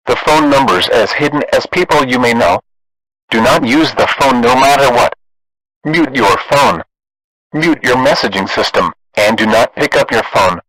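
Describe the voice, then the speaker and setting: A man's voice: mid-range voice, dry recording, poor audio. A man as stern emergency alert announcer. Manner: flat, official, terse. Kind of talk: scripted emergency broadcast announcement.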